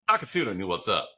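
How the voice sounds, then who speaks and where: A wry, playful man co-hosting a casual podcast. His voice is fairly low but bright and forceful, and it swings widely as he leans on words for emphasis. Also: breathy edge. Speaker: podcast co-host.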